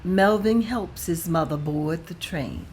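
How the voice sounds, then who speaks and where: Slow, lower-voiced woman narrating. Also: animated, a little mellow, slight background noise. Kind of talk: table read narration.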